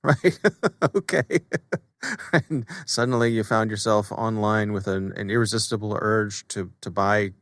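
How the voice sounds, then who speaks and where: A man's voice: on the low side, measured pace, a bit bright, dry recording. American man hosting a podcast, close dry sound. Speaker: podcast host. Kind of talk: scripted podcast intro and casual co-host chat.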